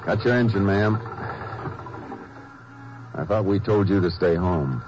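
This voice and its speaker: Deep-voiced, grim lawman. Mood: stern. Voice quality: calm, low, measured. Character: steady, grim veteran lawman. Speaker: Texas Ranger and narrator. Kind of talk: 1950s radio drama acting. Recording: noisy, muffled old radio broadcast.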